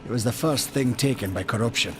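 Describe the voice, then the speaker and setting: An actor playing a boastful, wry male maker in a video game cutscene, talking in a chatty, animated way. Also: mid-range voice, fairly quick, over music, natural voice. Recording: game score behind.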